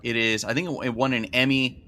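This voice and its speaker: A man's voice: mid-range voice, flat delivery, brisk, bright, forceful tone, slight background noise. A man chatting casually as a podcast guest, with a playful, joking manner. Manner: playful, jokey. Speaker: recurring podcast guest, toy designer.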